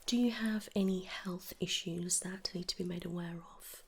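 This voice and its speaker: Whispering, creaky British woman doing ASMR. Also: on the low side, fairly even intonation, a little hiss or hum. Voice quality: breathy whisper and hushed soft voice. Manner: gentle, unhurried, reassuring questioning. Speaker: ASMR performer playing a dental receptionist or dentist. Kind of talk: ASMR roleplay, whispered and soft-spoken.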